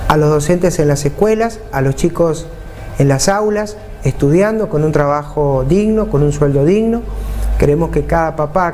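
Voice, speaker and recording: A man in his forties with an Argentine Spanish accent speaks in a mellow, slightly high voice, earnest and fond of repeating points for emphasis. He sits in a TV studio answering questions, with a faint hum behind him. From San Juan, Argentina. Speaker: mayor and vice-governor candidate. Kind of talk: political interview answers. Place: TV studio, seated at a table.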